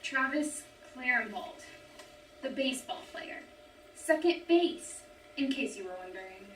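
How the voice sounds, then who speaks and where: A woman acts out an exasperated young ex-girlfriend, blunt and impatient. Her voice is high and swings widely, heard from off the mic in a roomy space. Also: lively intonation, unhurried. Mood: frustrated. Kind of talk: script table read acting. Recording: roomy, distant mic, clearly noisy.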